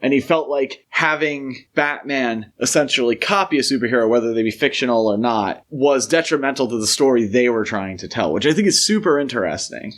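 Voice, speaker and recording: A man's voice: mid-range voice, lively intonation, fairly quick, hardly any pauses, a bit bright. Man co-hosting a film discussion podcast. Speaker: podcast co-host.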